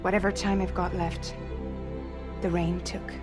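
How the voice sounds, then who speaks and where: Woman acting a weary courier boss, low voice, frank and bitter, cinematic game confession, loud music underneath. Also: little pitch movement, a little slow, natural voice. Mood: bitter, regretful.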